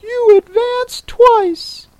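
A woman voicing an excitable yet melancholy male turtle creature from a storybook, in a squealing singsong delivery. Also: extremely high pitch, extremely slow, no echo, pitched above the performer's own voice, exaggerated voice. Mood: excited, eager.

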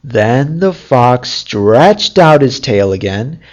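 A storyteller reading a fairy tale as scripted narration in a measured, deliberate way. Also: mid-range voice, sing-song, a little mellow, dull audio.

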